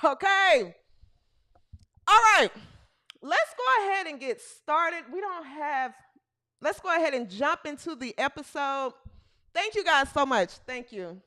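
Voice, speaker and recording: Female host, 30s, bright and sharp tone, playful live-stream energy with shouted bursts. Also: high voice, animated, unhurried, long pauses. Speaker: podcast host. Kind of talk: live-stream sports talk chat.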